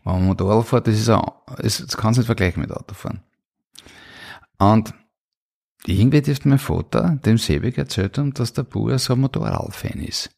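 A man's voice: fairly low pitch, normal speed, vocal fry, a little mellow. A middle-aged man with an Austrian accent, casually telling stories from his own life in an interview. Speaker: interview guest. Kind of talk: casual autobiographical storytelling.

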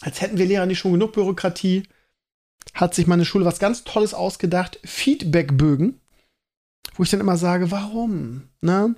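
A man talks alone as a podcast host. His voice sits slightly high for a man. He speaks casually and colloquially, wandering through his thoughts and interrupting himself as he goes. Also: normal speed, a little mellow. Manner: rambling, self-interrupting, colloquial. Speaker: school teacher. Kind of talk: casual solo podcast monologue.

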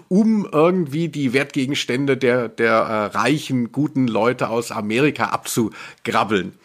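A man co-hosting a podcast in casual conversation, talking in an ironic, rambling way. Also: fairly high pitch. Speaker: podcast co-host. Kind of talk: casual chat, review of audio dramas.

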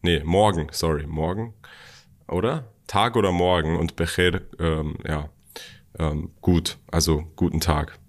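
Tiefe Männerstimme im lockeren Podcast. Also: male voice, low voice, unhurried. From Germany. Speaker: podcast co-host. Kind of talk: casual podcast conversation.

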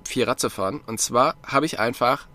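A man's voice: medium pitch, normal speed, bright, forceful tone. A man chatting casually as a podcast co-host about cycling, rambling with many fillers and restarts. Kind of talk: casual chat.

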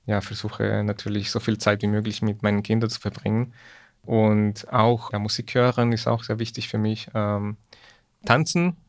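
Male podcast guest, Ecuadorian, lowish voice, careful halting delivery, dry sound. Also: steady tone, no echo. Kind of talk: casual chat.

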